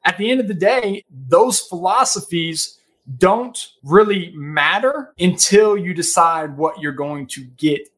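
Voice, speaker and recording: A man in his thirties with a slightly higher-pitched voice, speaking as a guest on a podcast. He gives practical money advice in an earnest, emphatic way and often checks in with "right?". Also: measured pace, fairly bright tone. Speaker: podcast guest, financial advisor. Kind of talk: casual podcast interview.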